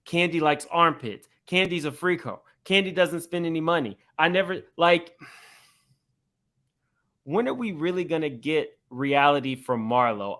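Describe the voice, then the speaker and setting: A man giving gossip commentary as he reacts to clips, spelling out his points in a measured, deliberate way. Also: fairly high pitch, bright, forceful tone.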